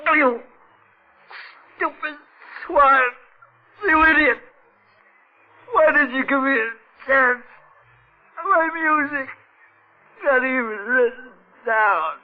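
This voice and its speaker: An actor playing a deranged, anguished composer in a 1940s radio thriller, crying out in drawn-out melodramatic phrases. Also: male voice, very high-pitched. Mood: dying, bitter. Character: tormented, deranged male composer and killer. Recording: muffled old radio recording, loud music behind.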